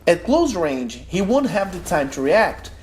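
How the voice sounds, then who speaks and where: A man's voice: sits high, very animated, unhurried. A young man from Brazil with a Brazilian accent, a non-native English speaker explaining gameplay tips step by step in a tutorial voice-over. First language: Portuguese. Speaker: gaming tutorial host.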